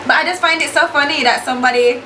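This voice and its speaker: A woman's voice: high voice, fast talker. A young Jamaican woman with a Jamaican accent, chatting bluntly while doing her makeup, swearing freely and slipping into patois. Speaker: beauty YouTuber. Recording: echoey room with steady background hiss.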